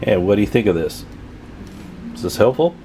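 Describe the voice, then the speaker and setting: A man giving a casual hands-on repair tutorial, talking informally and haltingly as he thinks aloud while working. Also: fairly low pitch, lively intonation, noisy background. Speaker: video host demonstrating CB radio tune-up.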